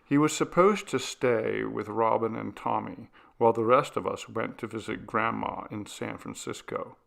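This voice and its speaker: A man's voice: lowish voice, moderate pace, vocal fry, fairly bright tone. A man narrating a scripted ghost story as an audiobook reader.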